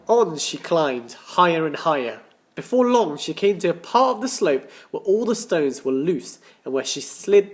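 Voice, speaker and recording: A man reading a children's story aloud as a teacher, with deliberate dramatic emphasis and questions to his listeners. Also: a little high. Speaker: primary school teacher.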